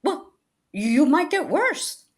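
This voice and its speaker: A woman's voice: high-pitched, big pitch swings, slow pace, exaggerated voice. A woman playing a scatterbrained, ailing spinster, cheerfully morbid and rambling dolefully, in 1940s radio comedy style. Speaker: actress in a radio comedy recreation.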